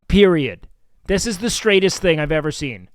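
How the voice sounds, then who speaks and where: A man with a nasal voice chatting casually on his live stream, loud and excitable, swearing often. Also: high-pitched, normal speed. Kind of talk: casual live-stream chat reacting to clips. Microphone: studio mic with noise gate.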